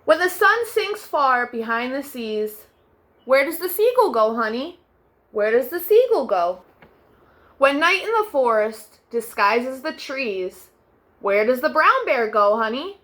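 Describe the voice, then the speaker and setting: A young woman hosting a children's storytime, reading picture books aloud in a gentle sing-song way with soft, drawn-out refrains. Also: high-pitched, big pitch swings.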